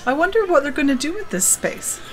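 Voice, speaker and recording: A woman's voice: high voice, expressive, a little fast, low-quality recording. A woman in her sixties co-hosting, giving short agreeing replies and playful jokes. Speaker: co-host. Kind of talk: casual chat.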